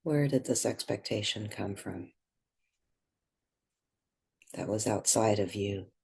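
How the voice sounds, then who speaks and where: A woman guiding a meditation, speaking gently in short, measured phrases with long pauses between them. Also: extremely low pitch, vocal fry, husky. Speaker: guided meditation leader. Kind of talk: guided meditation.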